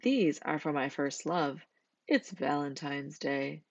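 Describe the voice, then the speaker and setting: A woman voicing a devoted, cheerful gentleman goat in a children's storybook, earnest and polite. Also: sits low, takes it slowly, gravelly, no room sound, dull audio, heavily acted.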